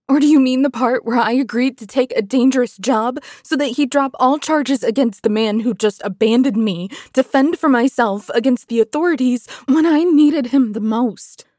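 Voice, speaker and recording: A woman's voice: medium pitch, unhurried, warm tone, husky, no room sound, clean studio sound. A woman voicing a proud, wounded, furious woman commander in a fantasy audiobook, with a biting, defiant delivery. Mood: venomous. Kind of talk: dramatic fantasy audiobook acting.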